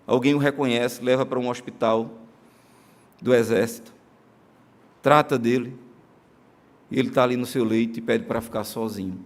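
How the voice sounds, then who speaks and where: A male pastor with a Brazilian accent preaching a sermon in a church, earnest, moving between emphatic declarations and soft, slow solemn passages. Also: mid-range voice, steady tone. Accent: Brazilian Portuguese. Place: church sanctuary during a live-streamed service.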